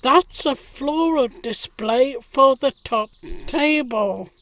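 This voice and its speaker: An earnest servant played by an actress in a period audio play. Her voice is fairly high, and she speaks slowly and deliberately. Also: fairly bright tone, exaggerated voice. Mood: flustered. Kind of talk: audio drama acting.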